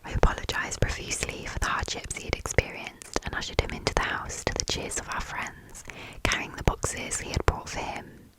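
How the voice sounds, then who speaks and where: A woman reading a story aloud in a soft, fully unvoiced ASMR whisper, close to the microphone. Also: low-quality recording.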